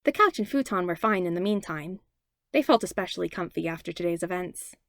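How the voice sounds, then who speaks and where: A woman's voice: lowish voice, expressive, fairly quick, a bit bright, no room sound, studio quality. A woman reading a story aloud as scripted narration. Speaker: podfic reader.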